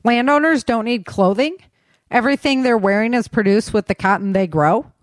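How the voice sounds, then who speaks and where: A blunt, commanding army major read by a woman in an audio story. He is curt and quick to protest, and the recording is dry and close. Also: fairly high pitch, dry recording, voice pushed up, lightly acted. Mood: defiant, indignant.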